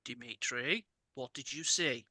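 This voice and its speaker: A man playing an earnest adventurer who speaks slowly and deliberately to a summoned familiar in a tabletop game. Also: mid-range voice, sharp tone, dry recording, voice pushed down. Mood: solemn, hushed. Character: earnest adventurer giving orders to his summoned bat.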